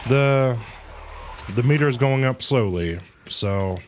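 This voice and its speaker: A man's voice: medium pitch, takes it slowly, a little hiss or hum. A man giving casual commentary as he livestreams a video game, reading some of its dialogue aloud. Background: video game music.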